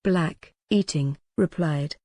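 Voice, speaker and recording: A woman's voice: low voice, takes it slowly, warm tone, dry recording, clean studio sound. A woman reading scripted, dramatic narration as the narrator of a historical romance audiobook.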